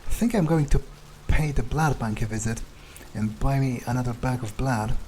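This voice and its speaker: A man improvising in character during a video game playthrough, thinking aloud bluntly and haltingly, with swearing. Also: mid-range voice, dark tone, a little hiss or hum. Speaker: video game player speaking as his character.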